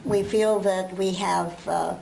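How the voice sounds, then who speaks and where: A woman's voice: fairly low pitch, steady tone, slow pace, vocal fry, dark tone, a little hiss or hum, rough recording. Enthusiastic older woman. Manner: earnest, enthusiastic, flowing. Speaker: customer giving a testimonial. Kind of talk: unscripted spoken testimonial.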